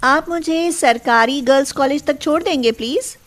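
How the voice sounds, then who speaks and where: A woman's voice: high-pitched, normal speed, a bit bright. A woman voicing a poor, polite young college girl who speaks sincerely in a story. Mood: grateful, polite.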